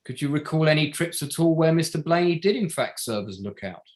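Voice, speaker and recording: A man acting a barrister cross-examining a witness in a courtroom drama. He is formal, deliberate and pointed, in a fairly high male voice, steering with leading questions. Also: expressive, close to an everyday voice. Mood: formal, methodical. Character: pointed, methodical male barrister for bereaved families. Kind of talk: courtroom drama table read.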